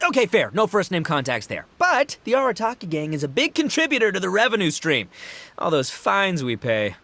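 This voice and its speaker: A man's voice: high voice, wildly swooping pitch, a little fast, pushed and bright, heavily acted. A man voicing a brash, boastful young gang boss and rocker in a video game, with cocky, boisterous, slangy delivery. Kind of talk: animated video game voice acting.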